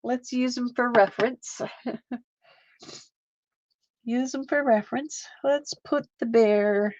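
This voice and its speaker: A woman's voice: a little high, slow, darkish tone, no room sound, highs cut off. A woman hosting a casual live art stream, chatting and laughing with viewers as she works, with a slight Canadian accent. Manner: chatty, giggly, talks to viewers while crafting.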